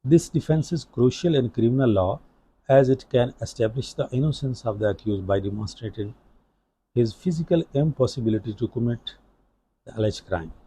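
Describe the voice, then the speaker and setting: A man with a Pakistani accent giving a formal explanatory law lecture in halting phrases. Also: medium pitch, lively intonation, mellow, slightly rough, poor audio.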